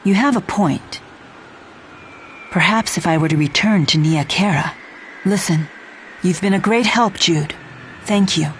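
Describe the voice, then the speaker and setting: A woman's voice: lowish voice, unhurried, long pauses, a little hiss or hum, lightly acted. A woman voicing a proud, composed young warrior with otherworldly powers in a fantasy game dub, formal and resolute.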